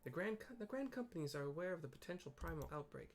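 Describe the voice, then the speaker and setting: A man's voice: on the high side, big pitch swings, quick pace, soft background music. A man voicing an earnest, dutiful female leader in a fantasy game, speaking in formal, old-fashioned phrases with careful diction. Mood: solemn, resolute.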